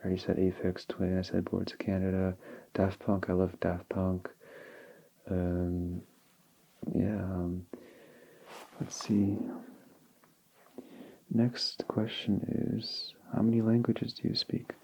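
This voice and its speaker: Low, gravelly soft-spoken young man. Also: rough recording. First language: Polish. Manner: slow and relaxed, with small restarts. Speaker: ASMR creator. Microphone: handheld field recorder.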